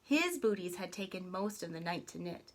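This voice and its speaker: A woman reading a children's story aloud in an expressive, deliberate, dramatic way. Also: on the low side. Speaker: storybook reader.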